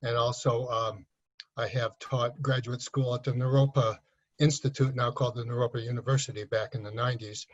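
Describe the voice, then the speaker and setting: An older man in a mid-range voice speaks haltingly, pausing on fillers between phrases. The sound is muffled and lo-fi. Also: medium pitch, level tone. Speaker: retired counselor. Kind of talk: video statement. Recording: muffled lo-fi recording.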